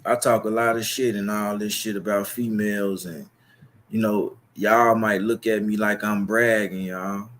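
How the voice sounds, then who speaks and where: A man's voice: on the low side, steady tone, a little slow, fairly bright tone, a little hiss or hum. A young man with a Chicago accent, talking straight to his viewers in a long, boastful, profane and rambling monologue. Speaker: YouTube streamer.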